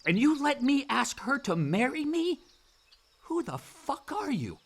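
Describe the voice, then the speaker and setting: A man's voice: very high-pitched, big pitch swings, unhurried, full character voice. An actor playing a brooding, angry grown older son in a naturalistic radio drama, blunt and informal in his talk. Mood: angry, accusing.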